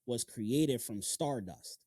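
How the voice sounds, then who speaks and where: A man's voice: mid-range voice, lively intonation, takes it slowly, dark tone, husky, dry recording, studio quality. A man hosting a podcast, chatting casually and slangily with plenty of loose swearing. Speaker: podcast host. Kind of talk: casual, animated podcast chat.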